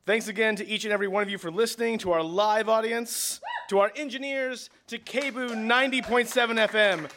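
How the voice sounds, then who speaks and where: A man's voice: high-pitched, fairly even intonation, a little fast, hardly any pauses, bright, forceful tone. A man hosting a live radio theater show from the stage, announcing and thanking the audience. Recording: live theater recording, faint background noise.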